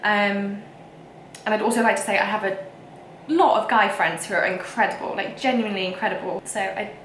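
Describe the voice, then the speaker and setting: A woman's voice: medium pitch, fairly quick, fairly bright tone, slight background noise. Young woman, whiny voice, frank and emphatic vlog rant, echoey room. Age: young adult. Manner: emphatic and frank, with frequent fillers. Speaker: vlogger. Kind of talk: casual ranting to camera.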